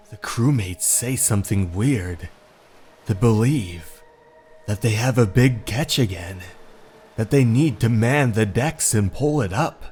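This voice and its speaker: A man narrating a horror story in a slow, dramatic, brooding way. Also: mid-range voice, a little mellow, husky.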